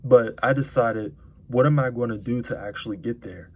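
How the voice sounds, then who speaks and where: A nineteen-year-old man giving a casual motivational talk to viewers, earnest and halting, often repeating words as he thinks aloud. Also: mid-range voice. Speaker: vlogger. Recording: clearly noisy, constant ambient background noise.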